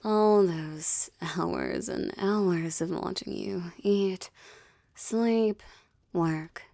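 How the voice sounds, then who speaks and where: A woman voicing a seductive, menacing succubus in an intimate audio roleplay, with formal, ornate phrasing and a teasing, breathy delivery. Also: medium pitch, very slow, warm tone, no room sound, studio quality. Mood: haughty. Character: seductive, menacing adult female succubus demon, teasing and possessive. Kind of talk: intimate audio roleplay, ASMR-like monologue addressed to listener.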